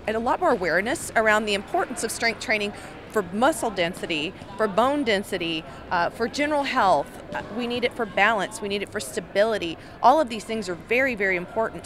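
A woman's voice: mid-range voice, background noise. A woman in her forties, a fitness coach, answering interview questions at an expo in a confident, explanatory manner. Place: large indoor expo hall, in front of a backdrop. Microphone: handheld microphone held by host.

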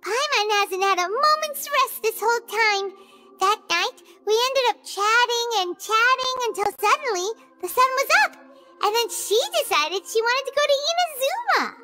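Very squeaky little fairy girl. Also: lively intonation, moderate pace. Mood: weary, exasperated. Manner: squeaky, exaggerated, chirpy, talks in third person. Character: tiny chatty childlike floating fairy companion girl.

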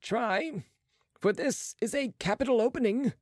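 A man's voice: very high voice, measured pace, no room sound, studio quality, voice pushed up. A man voicing a sceptical, mocking male physician who declaims in an audiobook. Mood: challenging. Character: sceptical, scornful male physician with materialist views. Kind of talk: audiobook character voice.

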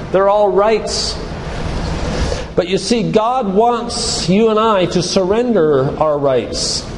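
An older man giving a sermon-like church lecture, teaching deliberately and emphatically with repeated phrases. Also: high-pitched. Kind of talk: sermon-like lecture quoting scripture. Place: live talk in a church hall. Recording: reverberant church hall, background hiss.

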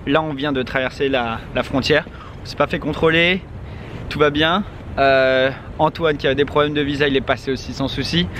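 A man's voice: mid-range voice, fairly even intonation, moderate pace, fairly bright tone. A young man talking casually to a handheld camera outdoors, rambling with many hesitations. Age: young adult. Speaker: vlogger. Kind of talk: casual travel vlog to camera. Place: outdoors in a city street. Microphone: handheld camera at arm's length. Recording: outdoor traffic noise behind. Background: street and traffic noise.

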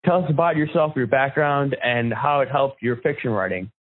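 A man's voice: mid-range voice, moderate pace, narrow, tinny audio. A man hosting a podcast, putting interview questions to a guest.

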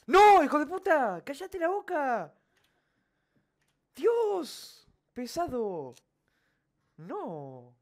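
A young woman with a Rioplatense Spanish accent, streaming games from her home computer desk in excitable, swearing, shrieking banter. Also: sits high, very slow, long pauses. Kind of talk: casual gaming stream banter.

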